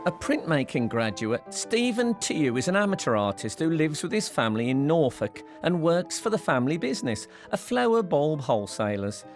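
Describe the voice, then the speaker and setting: Playful male presenter with a lively voice. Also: on the high side, moderate pace, few pauses, music in the background. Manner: teasing, playful. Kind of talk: scripted narration and jokey banter.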